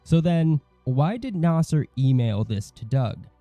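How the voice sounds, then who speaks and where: A man's voice: a little high, lively intonation, measured pace, warm tone, no room sound, faint music behind. A man reading a scripted commentary as the host of an online video.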